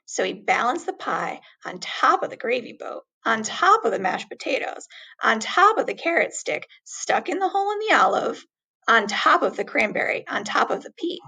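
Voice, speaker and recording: A woman reading a children's story aloud expressively, with deliberate, dramatic emphasis on words. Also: on the high side, big pitch swings, pushed and bright, slightly rough, rough recording.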